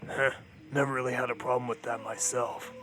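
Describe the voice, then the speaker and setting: A man playing a sarcastic, morose undead sidekick with dry, deadpan muttering in a comedic audio drama. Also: mid-range voice, fairly quick, over music, natural voice.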